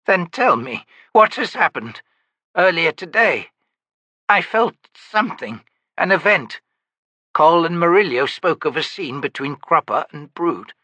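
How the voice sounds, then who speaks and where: A man voicing a terrified old woman, a grieving mother who pleads in a shrill, desperate voice. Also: animated, measured pace, pushed and bright, dry recording, full character voice. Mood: pleading.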